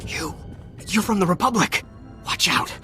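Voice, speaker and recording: A man's voice: high voice, animated, measured pace, pushed and bright, heavily acted. An actor playing a cocky young male ensign who jokes sarcastically and stammers when scolded, in a game cutscene. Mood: panicked. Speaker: rescued junior officer. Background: faint music.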